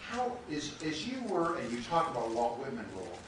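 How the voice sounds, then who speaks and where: A man's voice: mid-range voice, huge pitch swings, a little fast. Male moderator in a very echoey hall. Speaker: panel moderator. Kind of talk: moderating a live audience question session. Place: large room with an audience. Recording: echoey hall, distant mic, noisy.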